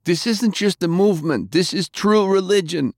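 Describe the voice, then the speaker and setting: A man's voice: high voice, normal speed, darkish tone, dry recording, clean studio sound, pitched above the performer's own voice. A man voicing a fanatical cult follower in an audiobook, preaching defiantly and taunting like a zealous thug. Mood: fervent zeal. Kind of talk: audiobook character acting.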